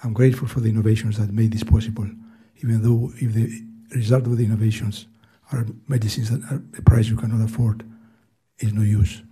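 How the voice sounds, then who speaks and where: An eighty-year-old man with a Greek accent, earnestly reading prepared testimony at a hearing in a soft, weak voice, halting and restarting now and then. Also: lowish voice, level tone, slightly rough. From Greece. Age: 80s. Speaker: hearing witness. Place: hearing room.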